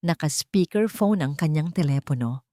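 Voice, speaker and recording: Low-voiced Filipino woman narrating drama. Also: animated, normal speed, mellow, dry recording, clean studio sound. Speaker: story narrator. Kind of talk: dramatic scripted narration of a romance story.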